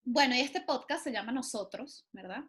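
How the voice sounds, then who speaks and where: Encouraging woman podcast host, higher voice. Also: lively intonation, moderate pace, fairly bright tone. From Venezuela. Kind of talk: interview podcast conversation.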